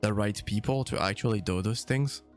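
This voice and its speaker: A man's voice: fairly low pitch, normal speed. A man hosting a casual gaming discussion, talking bluntly and informally with some swearing. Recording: faint music in the background. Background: faint music.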